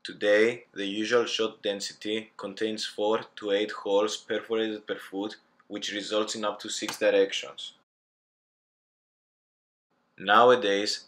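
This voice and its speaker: A young man reads a student engineering presentation. His voice sits low and stays flat, and he takes each phrase carefully, as if reading from notes. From Greece. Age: young adult. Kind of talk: scripted class presentation.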